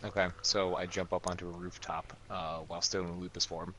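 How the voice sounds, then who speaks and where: A man's voice: sits low, little pitch movement, brisk, bright, forceful tone. A man casually talking through his moves as a player in a tabletop game, with voices in the background.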